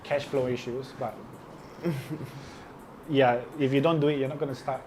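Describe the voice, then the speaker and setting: A man's voice: mid-range voice, fairly even intonation, darkish tone, a little hiss or hum, poor audio. A man with a Singaporean accent co-hosting a casual podcast, asking questions and chiming in. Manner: quick interjections and questions. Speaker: podcast co-host. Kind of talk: casual chat. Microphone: studio podcast mic.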